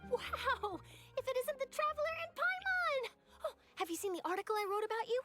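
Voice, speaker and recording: A woman's voice: very high voice, very animated, brisk. A woman playing an eager, chatty young newspaper reporter in an anime-style video game. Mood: excited. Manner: exclamatory, chatty, teasing. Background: background music.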